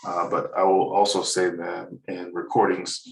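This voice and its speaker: Casting note: low, gravelly male instructor with a relaxed, rambling delivery, recorded in an echoey room. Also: moderate pace. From United States. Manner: relaxed, rambling, many uhs, chuckles at own jokes. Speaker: Spanish instructor. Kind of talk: screen-recorded course walkthrough. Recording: roomy, slightly distant mic.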